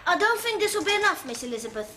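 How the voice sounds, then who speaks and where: An actress playing a pert, cheeky young housemaid who talks in a saucy, ungrammatical way in a 1970s television period drama. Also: female voice, very high voice, big pitch swings, rapid-fire, background noise.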